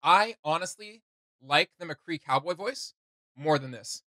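A man's voice: on the high side, animated, measured pace, pushed and bright, dry recording. A young man hosting a reaction video, chatting casually and giving his opinions. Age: young adult. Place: small indoor room with gaming setup.

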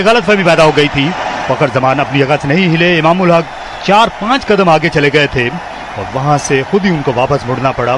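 A man with a Pakistani Urdu accent giving measured, formal ball-by-ball cricket commentary on radio. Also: fairly high pitch. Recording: noisy radio broadcast.

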